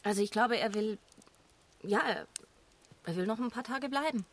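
An actress playing a compassionate, earnest woman in a naturalistic radio drama, speaking haltingly and repeating words with emotional involvement. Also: fairly high pitch. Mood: pleading. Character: compassionate, earnest woman pleading for a sheltered stranger.

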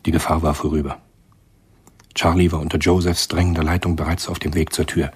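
A man narrating a spy thriller radio drama in a measured, literary storytelling manner. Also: very deep voice, little pitch movement, husky, full character voice. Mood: grave, measured.

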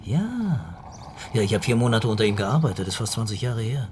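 An actor playing a brisk, probing police commissioner in a radio crime drama, speaking in terse questions. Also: male voice, lowish voice, very animated, darkish tone, a little hiss or hum. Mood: musing, focused.

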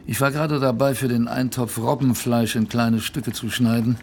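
Man playing a wry old expedition hand, creaky voice. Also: medium pitch, fairly even intonation, normal speed, a little mellow, slight background noise, lightly acted. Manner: wry, colloquial, storytelling. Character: former polar expedition sailor wryly recounting his hardships. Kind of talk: conversational radio play narration to a listener.

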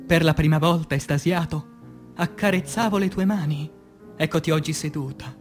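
A male actor performs a dramatic poetry recital for radio theatre in a high-set voice. His delivery is declamatory and impassioned, moving between hushed phrases and loud, forceful ones. Music plays softly behind him. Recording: music under the voice.

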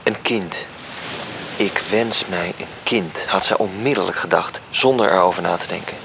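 A man playing a composed, authoritative heavenly spirit who explains a divine plan in measured, formal words in a radio drama. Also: low-pitched, creaky, noisy background. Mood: solemn narrating. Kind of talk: radio drama narration and dialogue. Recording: music behind the voice.

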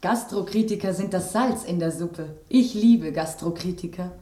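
An actress playing a refined, sentimental rose in a German radio drama, with an affected, flowery manner. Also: female voice, fairly low pitch, normal speed, mellow, natural voice. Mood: gushing.

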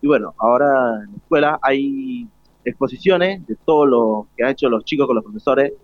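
A man's voice: mid-range voice, normal speed, no room sound. A teenage male student with an Argentine accent explains things informally in an interview, hesitating as he searches for words. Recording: dull lo-fi radio broadcast link.

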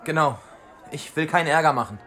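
A man's voice: a little high, brisk, crowd noise, noisy background, lightly acted. An actor playing a polite, cautious young man who feigns innocence, in a German radio play. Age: young adult.

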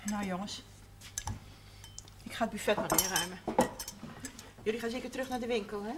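A woman's voice: medium pitch, brisk, close to an everyday voice. An actress playing a bustling, motherly middle-aged woman in a naturalistic 1970s Dutch television drama. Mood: gentle, firm. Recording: noisy old TV soundtrack, clattering dishes.

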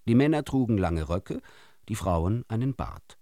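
An actor playing a wry storyteller in a musical radio play, reciting deliberately and theatrically with pointed pauses. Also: male voice, on the low side, big pitch swings, crowd noise. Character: wry male storyteller of absurd fairy tales. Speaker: narrator.

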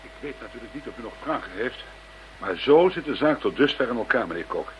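An actor playing a courteous, measured male investigator in a Dutch radio drama. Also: mid-range voice, animated, natural voice. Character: courteous male investigator, sceptical but open-minded. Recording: muffled, noisy old radio drama recording.

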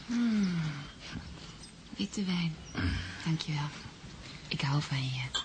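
Slow, dreamy young woman. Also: low voice, lively intonation, full character voice. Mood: loving. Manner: drowsy, soft, affectionate. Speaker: actress in a radio play. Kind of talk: Dutch radio drama acting. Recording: noisy radio play recording.

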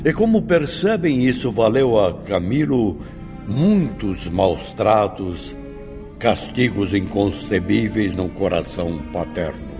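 An actor playing a grave spirit instructor who explains things to pupils in a didactic, theatrical way in a radio drama. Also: male voice, lowish voice, expressive, slow pace, over music. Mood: grave, instructive.

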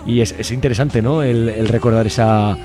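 A man's voice: fairly low pitch, fairly even intonation, normal speed, over music. A man hosting a radio interview, often restarting words and adding tag questions. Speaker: radio host.

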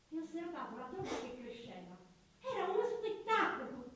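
Un'attrice che interpreta una piccola donna stramba, loquace e apprensiva, in un dialogo di radiodramma, con modi enfatici, autoritari e ripetitivi. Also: female voice, high voice. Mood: wistful. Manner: repetitive, emphatic, bossy. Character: talkative, fretful, quirky small woman who dreads finished things. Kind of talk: theatrical radio drama dialogue. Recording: very echoey, distant stage-like sound.

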